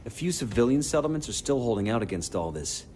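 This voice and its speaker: An actor playing a weary, sardonic comms coordinator in a video game, briefing in a blunt, informal way. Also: male voice, medium pitch, sing-song, fast talker, a little mellow, a little hiss or hum, lightly acted. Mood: wry, urgent. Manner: blunt, informal, foul-mouthed. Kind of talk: video game cutscene acting.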